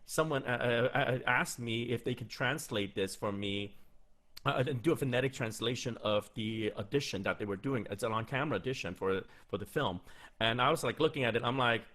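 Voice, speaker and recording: A man's voice: medium pitch, brisk, a bit bright. A man in his thirties, a voice actor, answering interview questions in a loose, chatty way with frequent restarts, recorded on a studio mic at home. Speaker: voice actor giving career advice. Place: small room at home, indoors. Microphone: large studio mic with pop filter, headphones on.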